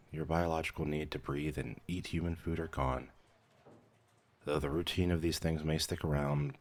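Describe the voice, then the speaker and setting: Very deep-voiced man playing a matter-of-fact vampire mentor. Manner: measured, clinical, dryly matter-of-fact, hesitant when surprised. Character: male vampire mentor, brisk weary clerk-medic, professional turning casual. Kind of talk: scripted ASMR roleplay.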